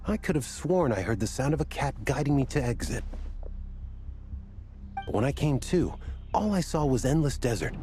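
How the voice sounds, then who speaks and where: Man acting a weary, earnest young scholar, lively pitch. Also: lightly acted. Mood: puzzled. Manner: deliberate, formal phrasing, exasperated sighs. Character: weary young male desert temple scholar, earnest, bewildered. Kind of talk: video game voice acting.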